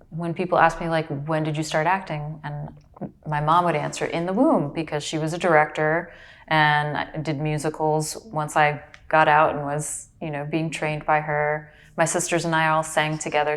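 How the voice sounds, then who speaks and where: Low-voiced woman, reflective storyteller. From Brazil. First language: Portuguese. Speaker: actor and audiobook narrator. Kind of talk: casual interview.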